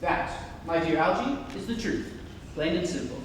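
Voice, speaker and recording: Young man as an indignant high-voiced gentleman, cavernous echo. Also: animated, normal speed, natural voice. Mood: solemn, defensive. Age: teenager. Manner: formal, indignant, theatrical. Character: earnest, indignant, evasive young Victorian gentleman. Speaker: student actor. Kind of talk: dramatic stage reading of a comedy. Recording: far from mic, long hall echo, noise.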